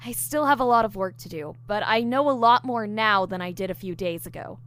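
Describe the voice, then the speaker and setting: A woman's voice: medium pitch, brisk, fairly bright tone, natural voice. A woman playing an eager, diligent newly hired investigator in an audio drama, explaining things earnestly. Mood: assured, explanatory. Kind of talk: audio drama dialogue, explaining case theories.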